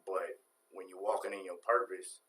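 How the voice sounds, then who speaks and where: Deep-voiced Southern-accented man. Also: flat delivery, moderate pace, a bit bright, poor audio. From New York. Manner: laid-back, rambling, earnest. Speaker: guest. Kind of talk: casual chat.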